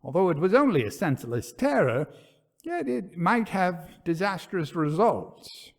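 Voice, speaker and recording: A man reading a gothic ghost story aloud in a theatrical, deliberate and suspenseful manner. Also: high voice, sing-song, vocal fry.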